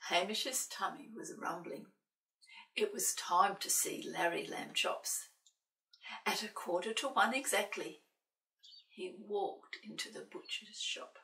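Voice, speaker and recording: A woman reading a children's picture book aloud in an expressive way, with playful, dramatic asides to young listeners. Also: medium pitch, slow. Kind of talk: expressive children's storybook narration. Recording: roomy, slightly distant microphone.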